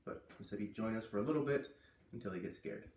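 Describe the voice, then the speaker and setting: A man's voice: on the low side, quick pace, a little mellow, slight background noise. Man reading aloud, in an echoey room. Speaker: read-aloud storyteller. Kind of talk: expressive read-aloud of a children's novel. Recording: roomy echo, distant from mic.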